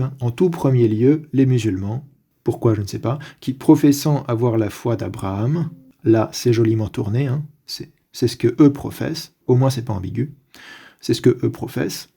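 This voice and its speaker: A man giving an unscripted lecture on a church document, deliberate and with frequent hesitations and restarts. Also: mid-range voice, gravelly, dark tone, breathy edge. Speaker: religious commentator.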